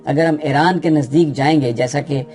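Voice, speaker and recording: A man with a Pakistani Urdu accent explains political news in an unscripted, steady way, often pausing with "uh" as he thinks. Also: a little high, faint music behind. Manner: steady explanatory delivery with frequent hesitations.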